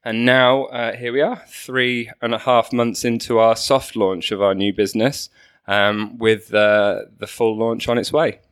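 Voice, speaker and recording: A man's voice: mid-range voice, level tone, unhurried, clear, resonant tone. A man from Manchester, a podcast guest, telling his story in a light, joking and self-deprecating way. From Manchester, England. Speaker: guest, company co-founder. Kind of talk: podcast interview.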